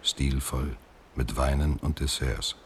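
Faded middle-aged actor, very low bass voice, measured, proud, radio drama, light background noise. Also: male voice, steady tone, heavily acted. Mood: reflective, composed. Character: washed-up, proud middle-aged male actor turned letter writer. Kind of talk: German radio drama acting.

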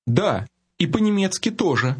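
A man modeling phrases in a language lesson, saying words slowly and breaking them into syllables. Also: high-pitched, sing-song, husky. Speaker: native-speaker model in a lesson. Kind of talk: scripted lesson demonstration.

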